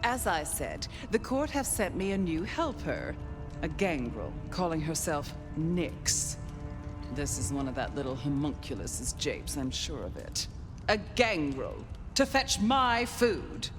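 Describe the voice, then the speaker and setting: Haughty, slow-drawling vampire grande dame. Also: female voice, deep voice, big pitch swings, music in the background. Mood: imperious. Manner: aristocratic, theatrical drawl, condescending endearments. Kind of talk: dramatic video game acting.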